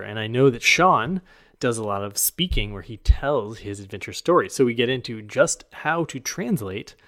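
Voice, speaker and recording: A man's voice: mid-range voice, fairly bright tone, no room sound, clean studio sound. A man hosting a podcast, introducing and interviewing his guest. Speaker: podcast host.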